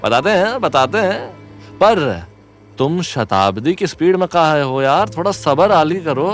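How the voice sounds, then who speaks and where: Male actor as playful young sidekick, animated, slightly high voice, colloquial, comic audio drama. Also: a little slow, soft background music. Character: cheeky, comic young male sidekick, teasing and food-loving. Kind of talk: Hindi audio drama acting.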